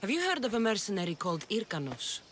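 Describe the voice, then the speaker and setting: An actor playing a hard, accusing soldier in a video game. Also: male voice, high voice, wildly swooping pitch, fast, fairly bright tone, a little hiss or hum, heavily acted. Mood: wry, hard. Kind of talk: video game dramatic acting.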